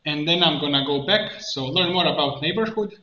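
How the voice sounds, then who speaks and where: A man presenting an improvised software tutorial, thinking aloud haltingly as he works at a computer. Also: a little high. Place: indoor room at a computer. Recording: dull, echoey room, clear background noise. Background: keyboard typing and mouse clicks.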